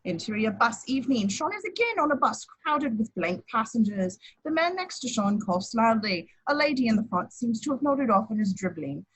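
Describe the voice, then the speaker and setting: A woman's voice: a little high, big pitch swings, normal speed, few pauses, a bit bright, slightly rough, poor audio. A woman reading stage directions as plain scripted narration at an informal table read. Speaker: action-description reader.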